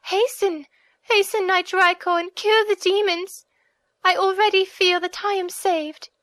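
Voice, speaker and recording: Woman voicing a high-pitched, breathless captive princess. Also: fairly even intonation, a little slow, fairly bright tone, no echo. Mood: joyful, urgent. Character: distressed young captive princess, wondering and hopeful. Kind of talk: audiobook character reading.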